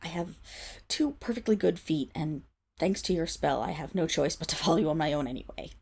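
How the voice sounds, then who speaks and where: A woman's voice: deep voice, animated, fairly quick, creaky, darkish tone, slightly rough, full character voice. A woman playing a proud, indignant noble wolf-girl servant in an ASMR roleplay, with haughty, formal diction that falters when she is flustered. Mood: grudging, seething. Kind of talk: ASMR roleplay, dramatic voice acting.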